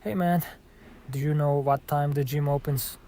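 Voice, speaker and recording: A man's voice: on the high side, fairly even intonation, normal speed, dark tone, faint music behind, close to an everyday voice. A man playing a casual, easygoing hotel guest in a scripted radio drama. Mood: relaxed.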